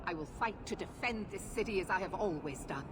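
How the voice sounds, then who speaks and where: A woman's voice: mid-range voice, animated, a little fast, background noise, close to an everyday voice. An actress playing a stern, authoritarian female knight commander who talks in curt, impatient orders. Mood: commanding.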